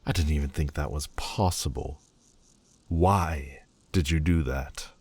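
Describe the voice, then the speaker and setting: A man voicing a sullen, proud demon lord who speaks with slow, scornful deliberation in an audio roleplay. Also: a bass voice, a little mellow, slightly rough, dry recording, exaggerated voice. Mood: bickering.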